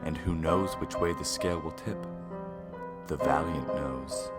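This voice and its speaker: An actor playing an ominous, theatrical host and narrator of an old-time radio mystery serial, with brooding, grandiose, drawn-out delivery. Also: male voice, very deep voice, loud music behind the voice.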